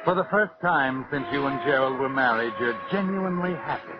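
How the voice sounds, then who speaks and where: An actor playing a sardonic, all-knowing narrator in 1940s radio suspense style. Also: male voice, mid-range voice, brisk, heavy background noise, natural voice. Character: sardonic, omniscient male mystery narrator addressing the villainess. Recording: muffled vintage radio with loud music.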